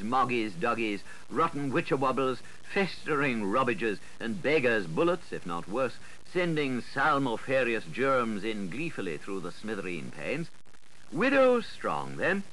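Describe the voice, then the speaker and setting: A man reading a literary audiobook in a theatrical, expressive style, relishing the wordplay with dramatic rises and falls. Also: fairly low pitch, rough recording. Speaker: audiobook reader. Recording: 1985 audiobook recording with audible background noise.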